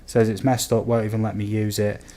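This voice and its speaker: A man from the UK with a slightly croaky voice, chatting casually and easily to viewers as a livestream host. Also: lowish voice, level tone, a little fast, dark tone. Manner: easygoing, chatty, addresses viewers as buddy and guys. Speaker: game streamer, host.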